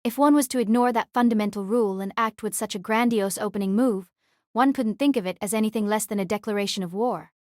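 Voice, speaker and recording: A woman narrates a fantasy novel as an audiobook. Her voice sits at a medium pitch, and the recording is dry with no room sound. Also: quick pace, darkish tone, no echo. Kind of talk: scripted story narration.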